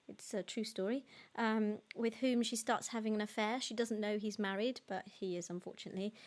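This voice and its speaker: A young woman, a singer hosting a live-streamed concert, chatting casually between songs, reading out viewer comments and retelling a story in a loose, searching way. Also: mid-range voice. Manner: chatty, reads out viewer comments and thanks them warmly.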